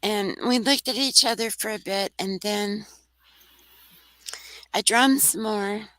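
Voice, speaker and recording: A soft-voiced woman, a guest on a talk radio show, telling a personal story in an earnest, rambling way. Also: medium pitch, slow, dry recording, low-quality recording. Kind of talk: casual storytelling.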